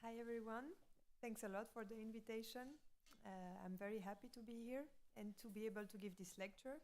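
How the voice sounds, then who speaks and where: A woman's voice: on the high side, fairly even intonation, fairly quick, noisy background. A woman in her thirties with a Swiss French accent, a native French speaker giving a lecture in English, a little nervous and hesitant. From French-speaking Switzerland. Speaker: guest lecturer, graphic designer.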